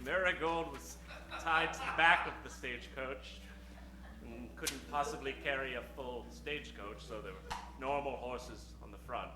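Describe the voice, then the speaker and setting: A man's voice: mid-range voice, normal speed. A man narrating a tongue-in-cheek old-time western radio show in a theatrical storytelling way. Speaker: narrator of a live improvised radio comedy. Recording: roomy live stage sound.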